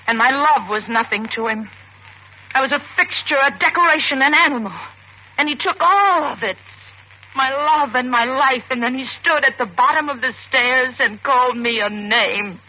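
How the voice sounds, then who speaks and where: An actress playing an embittered young murder suspect with intense, theatrical delivery in an old-time radio suspense drama. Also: female voice, fairly high pitch, moderate pace, natural voice. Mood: anguished, outraged. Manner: intense, theatrical, rhetorical questioning. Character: young, beautiful, embittered wife accused of killing her husband. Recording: muffled, noisy vintage radio recording.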